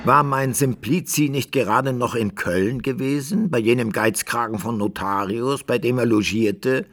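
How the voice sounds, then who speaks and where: A man's voice: mid-range voice, a little slow, a bit bright. An actor playing a fussy storyteller in a radio drama, who muses aloud and questions himself as he narrates. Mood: musing, puzzled. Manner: musing aloud, self-questioning. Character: musing, fussy storyteller devoted to his hero.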